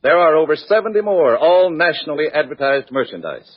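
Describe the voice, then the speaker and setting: A man announcing a scripted 1940s radio commercial in a measured, salesmanlike manner. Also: on the high side, animated, breathy edge. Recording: muffled 1940s radio recording.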